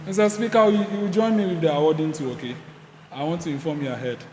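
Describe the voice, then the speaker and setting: High-voiced Ghanaian man in a reverberant hall. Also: darkish tone. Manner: halting, with fillers and restarts. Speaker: youth parliament organizer. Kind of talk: ceremonial remarks and citation reading. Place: large hall. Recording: hall reverb, faint room noise.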